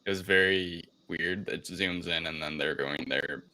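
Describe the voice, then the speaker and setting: A man's voice: low-pitched, a little slow, bright, forceful tone, poor audio. A man co-hosting a casual film discussion, talking in a hesitant, rambling way with unsure phrasing. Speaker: podcast co-host recapping a film.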